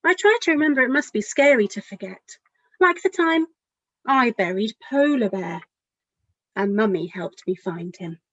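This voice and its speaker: A woman with a fairly high voice reads a picture book for young children. She is expressive and speaks straight to her listeners as a storytime author would. The sound is dry and a little dull. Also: moderate pace, slightly rough, dry recording. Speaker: children's author reading aloud.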